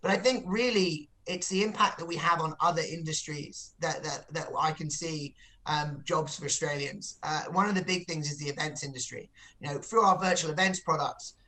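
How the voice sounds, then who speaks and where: Bright-voiced man explaining his business. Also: on the high side, normal speed. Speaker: company chief executive, interview guest. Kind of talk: business interview, explanatory pitch.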